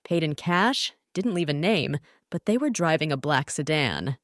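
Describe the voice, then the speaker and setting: A woman's voice: deep voice, lively intonation, measured pace, a bit bright, no echo, studio quality. A woman voicing a burly, helpful male pickup truck shopkeeper in a children's storybook. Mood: helpful, thoughtful.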